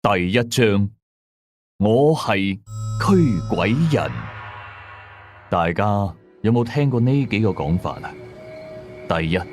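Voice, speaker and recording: A man narrating a scripted first-person horror story in a measured, dramatic way, drawing out the ends of some phrases. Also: on the low side, lively intonation, soft background music. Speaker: storyteller of a radio ghost-story series.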